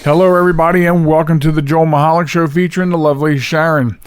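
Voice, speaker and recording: A man's voice: a little high, fairly even intonation, fast, music in the background. A man hosting a podcast, talking casually and rambling a little as he introduces the show. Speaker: podcast host.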